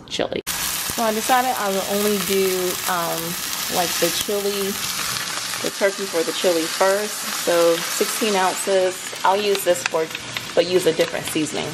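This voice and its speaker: A woman's voice: on the low side, vocal fry. A woman in her thirties chatting informally as she cooks for a home vlog, thinking aloud. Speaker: vlog host, home cook. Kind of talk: casual cooking vlog chat. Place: home kitchen, indoors. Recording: very noisy kitchen camera audio.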